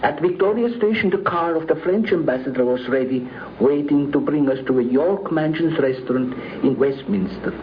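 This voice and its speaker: A man's voice: fairly high pitch, moderate pace, hardly any pauses. A man giving a prepared first-person account of his memories on a 1950s radio program. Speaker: guest recounting memories. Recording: dull, noisy 1950s radio recording.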